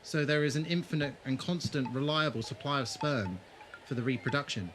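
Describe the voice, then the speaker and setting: Audio drama lead, male actor: grave adventurer, medium pitch, intense explanatory delivery, clear background noise. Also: fairly quick, natural voice. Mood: grave explaining. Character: grave, resolute adventurer leading his companions. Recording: clearly noisy background ambience.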